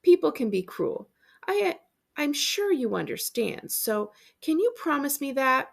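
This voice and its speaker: A woman acts out an eccentric storybook aunt, a retired world adventurer full of warmth. The voice is high with a creaky edge, and the delivery is effusive and grandly theatrical. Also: sing-song, unhurried, exaggerated voice. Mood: earnest, pleading.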